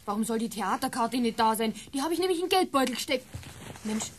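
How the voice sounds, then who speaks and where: Radio play: pert, fretful teenage niece played by a woman, high-pitched, Bavarian accent, impatient. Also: animated, fast talker, slight background noise, close to an everyday voice. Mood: angry. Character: impatient, snappy sixteen-year-old Bavarian niece.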